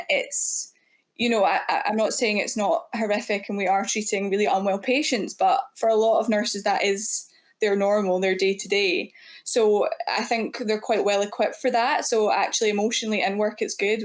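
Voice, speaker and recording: A woman's voice: mid-range voice, steady tone, normal speed. A woman in her twenties with a Scottish accent, chatting casually as a guest in an interview. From west coast of Scotland. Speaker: podcast guest, student nurse starting work.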